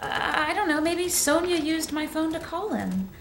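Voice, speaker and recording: A woman's voice: extremely high pitch, lively intonation, normal speed, a bit bright, slight background noise, full character voice. A woman acting as an anxious suspect who denies everything, hesitant and defensive in a naturalistic film style. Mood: flustered denial. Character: flustered, anxious female suspect denying everything.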